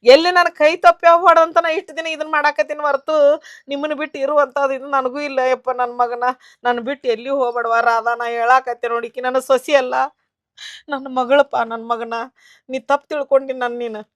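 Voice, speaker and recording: A woman's voice: high-pitched, little pitch movement. A middle-aged woman with a North Karnataka Kannada accent, playing a jealous, possessive mother-in-law who scolds her son and daughter-in-law. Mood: pleading, tearful. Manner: scolding, sharp, and quick to blame. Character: jealous possessive mother-in-law, quick-tempered, later remorseful. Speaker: actor. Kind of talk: village family drama acting.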